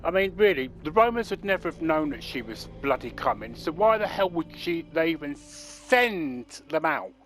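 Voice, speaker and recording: A man's voice: on the high side, very animated, moderate pace, few pauses. A man commenting on his own gameplay, ranting and swearing in irritation. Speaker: gaming channel host. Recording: voice over loud game music.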